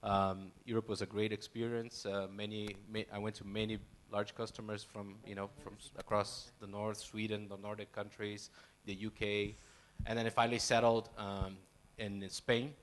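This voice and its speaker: A man in his forties introduces himself on a conference panel. His voice sits low and barely moves in pitch. He speaks haltingly into a handheld microphone. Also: little pitch movement. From Brazil. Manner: hesitant, many fillers and restarts. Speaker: panelist, software company CEO. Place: conference stage.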